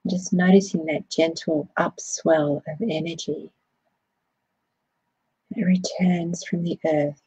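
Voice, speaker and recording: A woman leading a guided body scan meditation, speaking in a soothing, gentle way with unhurried phrasing. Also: fairly low pitch, steady tone, husky, dry recording, low-quality recording.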